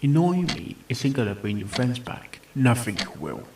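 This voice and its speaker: A man playing a patient, probing pony therapist in an audio drama, speaking in a calm, measured and firm way. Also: mid-range voice, vocal fry, background noise. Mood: firm, coaxing. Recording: roomy voice over background music.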